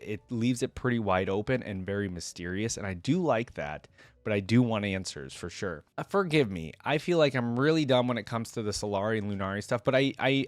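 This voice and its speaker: A man's voice: lowish voice, no room sound. A man giving casual reaction commentary and reading fantasy lore aloud as the host of a reaction video.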